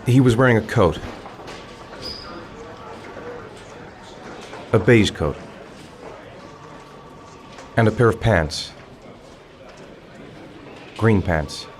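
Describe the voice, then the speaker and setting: A man's voice: fairly low pitch, expressive, slow pace, long pauses, a little hiss or hum. An actor playing a distraught father of a missing boy, hesitant and stammering, in a dramatic video game. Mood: shaken, defensive. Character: distraught separated father of a missing son, halting.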